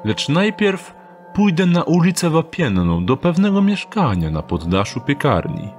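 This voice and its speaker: A man's voice: fairly high pitch, huge pitch swings, a little slow, a little mellow, faint music behind, pitched above the performer's own voice. A man voicing a weary, sarcastic male thief in an audio drama, speaking curtly and with dry sarcasm. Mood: sardonic. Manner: curt and sardonic.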